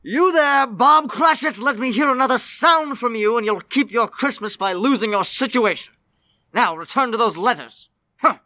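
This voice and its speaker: A man playing a bitter, miserly old man in a radio play, gruff, scoffing and theatrical. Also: extremely high pitch, lively intonation, moderate pace, bright, forceful tone, no echo, exaggerated voice. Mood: stern, commanding.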